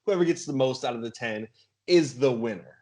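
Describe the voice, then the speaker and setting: A man's voice: mid-range voice, lively intonation, fairly quick, a little mellow. A man hosting a casual podcast game in a playful, mock-announcer way. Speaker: game show host on a podcast.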